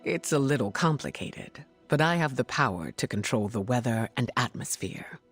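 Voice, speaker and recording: A woman playing a regal, gracious mutant heroine in a video game, speaking formally and with measured poise. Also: a bass voice, lively intonation, slightly rough, faint music behind, heavily acted. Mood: warm, courteous. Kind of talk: video game cutscene acting.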